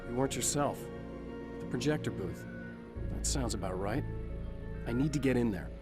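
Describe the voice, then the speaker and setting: Dry, low-key male game hero acted. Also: lively intonation, normal speed, over music, lightly acted. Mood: calm, focused. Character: calm, wry male hero, guarded and reassuring. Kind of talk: understated video game acting.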